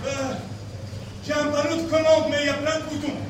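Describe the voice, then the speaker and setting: A bumbling, earnest police partner in a comic audio drama. His voice is strikingly high and plain-spoken, with heavy echo and noise around it. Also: monotone, a little fast, recorded from a distance. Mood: urgent. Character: male police sidekick, hesitant, earnest and bumbling. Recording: very echoey, off-mic, noisy industrial setting.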